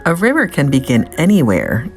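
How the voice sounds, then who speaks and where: A woman librarian reading a children's picture book aloud in an expressive, deliberate way, drawing out key words. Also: deep voice, faint music behind.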